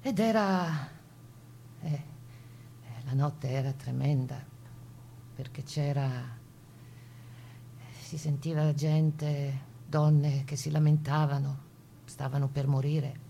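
A woman playing a camp survivor who gives her grave testimony in a radio play, plainspoken and halting, in a conversational way. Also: deep voice, gravelly, dark tone, a little hiss or hum, full character voice. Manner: halting, conversational, with small fillers and tag questions. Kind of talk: dramatized first-person testimony in a radio play.

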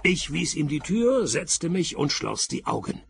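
An actor in a radio play playing a wry, world-weary private detective in a deadpan, sardonic manner. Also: male voice, fairly high pitch, measured pace, creaky, slightly rough, exaggerated voice. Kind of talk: radio play narration and dialogue.

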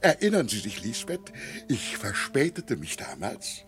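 A man playing a mild, reflective village pastor in a literary radio drama, speaking in a measured, formal way. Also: lowish voice, huge pitch swings, a bit bright, faint music behind, full character voice.

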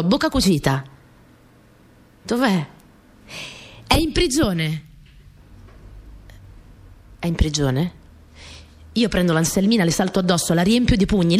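Earnest peasant girl, low-voiced. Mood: childlike, agitated. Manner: naive, colloquial, repeating phrases for emphasis. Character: young Italian peasant girl, earnest, plainspoken, stubborn storyteller. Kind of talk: dramatic monologue in a radio play. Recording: music behind the voice.

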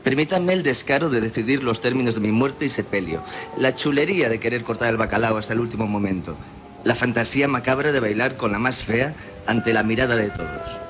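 An actor plays a dying man with a Castilian Spanish accent and a medium-pitched voice. He delivers a florid radio drama monologue, solemn and morbidly defiant, with music clearly playing behind him. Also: animated, a little fast, lightly acted. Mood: morbid, wistful. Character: dying man, a self-styled monster, morbidly defiant, scripting his own funeral. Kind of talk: scripted literary radio monologue.

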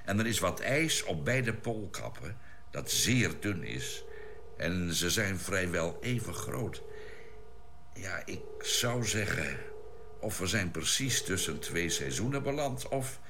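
An actor playing a thoughtful ship's doctor and scientist in a Dutch science-fiction radio drama, explaining things deliberately. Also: male voice, deep voice, expressive, full character voice. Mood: thoughtful, explanatory. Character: measured, thoughtful male scientist-doctor aboard a spaceship. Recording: noisy old radio drama recording.

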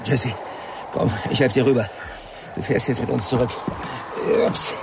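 An actor in a German radio play playing a stern, worried father, brisk and scolding. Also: mid-range voice, steady tone, close to an everyday voice. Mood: commanding. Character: stern, worried father taking charge at sea. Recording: wind and water noise, off mic.

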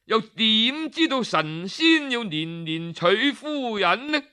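A man's voice: high-pitched, sing-song, takes it slowly, sharp tone, clean tone, pitched above the performer's own voice, exaggerated voice. A man voicing a stern magistrate from ancient times who questions and commands. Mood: commanding.

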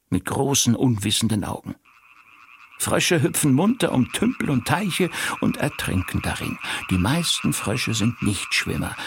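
An actor playing a solemn, eccentric man in a radio play monologue, contemplative and deadpan. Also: medium pitch, vocal fry, a little hiss or hum. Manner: matter-of-fact, contemplative.

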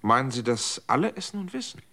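A man's voice: mid-range voice, sing-song, a little fast, sharp tone, exaggerated voice. An actor in a radio drama playing a guilt-ridden man who pleads and accuses. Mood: anguished, accusing.

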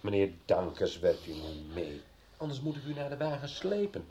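An actor playing a formal police officer making an arrest, hesitant and officious. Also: male voice, lowish voice, big pitch swings, normal speed, warm tone, slight background noise.

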